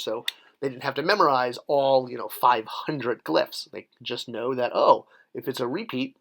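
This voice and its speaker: A man's voice: mid-range voice, fairly bright tone. A man teaching how to read and draw hieroglyphs in a casual tutorial, explaining step by step with friendly, self-deprecating asides. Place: voice-over for an overhead video of paper drawings.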